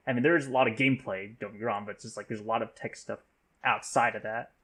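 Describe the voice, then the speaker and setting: A man's voice: lowish voice, steady tone, fast, fairly bright tone, slightly rough. A man talking casually on a podcast panel, stumbling over repeated phrases and often saying like.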